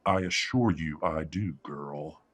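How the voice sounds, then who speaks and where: Menacing werewolf father, acted by a man: deep, breathy, smug, deliberate audio-drama villain. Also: fairly bright tone, exaggerated voice. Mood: threatening. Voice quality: husky, breathy. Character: menacing, controlling werewolf patriarch, middle-aged or older father.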